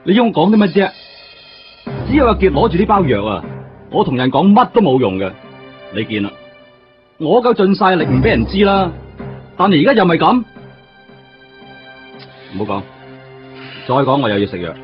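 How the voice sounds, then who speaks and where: An actor playing a world-weary veteran police detective in a radio drama, with a Hong Kong Cantonese accent and a drawling, resigned, defensive manner. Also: male voice, a little high, very animated, moderate pace, pauses often. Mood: bitterly resigned. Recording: muffled radio drama recording. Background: music.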